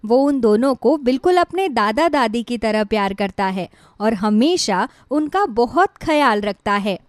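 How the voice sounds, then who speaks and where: Higher-pitched female story narrator, dry sound. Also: animated, measured pace, no room sound. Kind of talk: scripted children's story narration.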